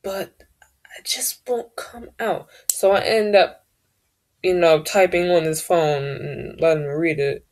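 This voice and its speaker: Slow, hesitant woman with a deep voice. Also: darkish tone. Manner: hesitant, searching for words, trailing off. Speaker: vlogger. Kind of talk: casual personal storytelling.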